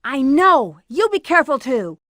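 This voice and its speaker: A woman's voice: sits high, big pitch swings, normal speed, fairly bright tone, no echo, studio quality. A woman voicing a sly, playful bat treasure hunter in a video game, teasing and breezy. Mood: cautious.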